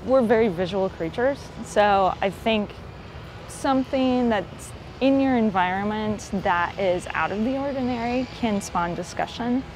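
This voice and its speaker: A woman's voice: medium pitch, lively intonation, a little slow, a little mellow, slight background noise, rough recording. A woman speaking in an interview soundbite as a project director.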